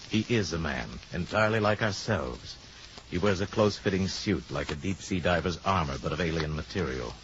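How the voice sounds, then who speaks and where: An actor as the grave, suspenseful male narrator of a 1950s radio drama, speaking in a measured, dramatic way. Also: deep voice, a little hiss or hum. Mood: hushed, suspenseful. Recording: dull old radio recording, loud music.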